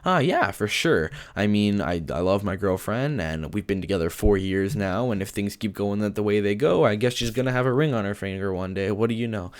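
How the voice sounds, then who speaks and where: A man's voice: lowish voice, brisk, hardly any pauses, clear, resonant tone. A young man from Canada with a lisp, hosting a casual gaming-channel Q&A from his bedroom, joking and laughing as he answers. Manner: chatty, joking, laughs often. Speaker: YouTube gaming channel host. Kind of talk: casual Q&A vlog.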